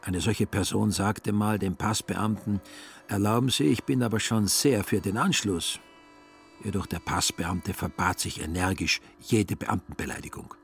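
A man narrating a literary radio play in a measured, ironic storytelling manner. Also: fairly low pitch, creaky, soft background music.

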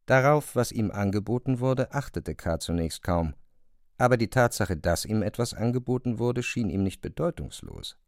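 A male narrator with a low, gravelly voice reads literary prose for a radio drama. His delivery is measured and articulate but moves expressively up and down, in a very dry recording. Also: expressive, no room sound.

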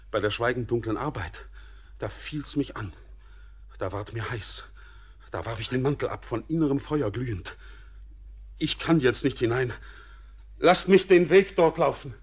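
Elis, a restless haunted young miner. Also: male voice, mid-range voice, animated, unhurried, long pauses, slightly rough. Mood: feverish. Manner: brooding, then feverish and urgent. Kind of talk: 1900s-style radio play acting.

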